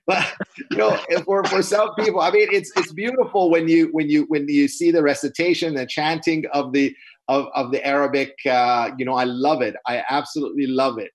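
A middle-aged man whose first language is Persian, giving an unscripted webinar lecture in a halting, earnest way with many restarts, recorded on a video call from a small room. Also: a little high, fairly even intonation, poor audio. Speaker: webinar presenter. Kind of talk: unscripted lecture answering questions. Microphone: webcam on a video call.

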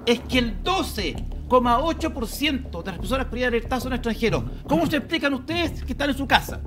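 Emphatic high-pitched older Chilean man, music behind. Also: expressive, a little fast. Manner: emphatic, insistent, repeats key phrases. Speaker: debate panelist. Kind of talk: heated TV panel debate. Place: TV studio panel desk. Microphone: lapel mic. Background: music under the talk.

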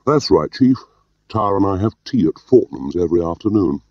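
A man's voice: a bass voice, animated, moderate pace, breathy edge, full character voice. A defiant male spy as a man reads him in an audiobook answers with dry sarcasm and indignation. The sound is dead of room echo and a little dull. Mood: facetious. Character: facetious, defiant male British spy under accusation.

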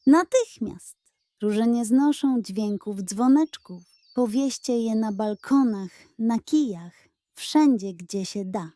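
A woman's voice: a little high, expressive, takes it slowly, dark tone, no echo, studio quality. A woman voicing a brave, wise little male rabbit who takes charge in a children's storybook. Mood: urgent, commanding.

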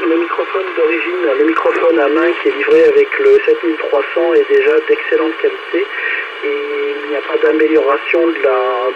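A man chatting casually as an amateur radio operator over a two-way radio, hesitating as he searches for words. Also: a little high. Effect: two-way radio transmission. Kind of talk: casual radio contact chat, passing turns. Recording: dull radio signal with clear static noise.

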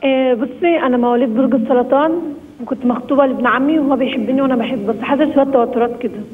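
A woman's voice: high-pitched, racing. A woman caller with an Egyptian accent, explaining hesitantly over the phone. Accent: Egyptian Arabic. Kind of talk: phone call-in. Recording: tinny distant phone line.

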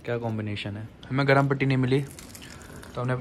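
Fast-talking young man vlogging by a river. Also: medium pitch, fairly even intonation. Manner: chatty, addresses viewers directly. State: slightly sore throat. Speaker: vlogger host. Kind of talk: casual travel vlog. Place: outdoors by a loud rushing river and in hotel rooms. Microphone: phone held at arm's length. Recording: phone video with loud river noise.

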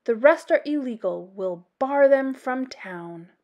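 Storybook mayor performed by a woman: male, self-important, declamatory, high and measured. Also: animated, lo-fi sound, heavily acted. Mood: pompous, proclaiming. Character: pompous male small-town mayor making speeches.

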